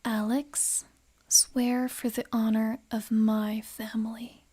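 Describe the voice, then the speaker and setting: An actress playing a wealthy, possessive noble lady in an ASMR roleplay, speaking softly and breathily with refined, measured delivery and menace under a gentle facade. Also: fairly high pitch, little pitch movement, slightly rough. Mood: coldly threatening. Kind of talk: ASMR romantic roleplay acting.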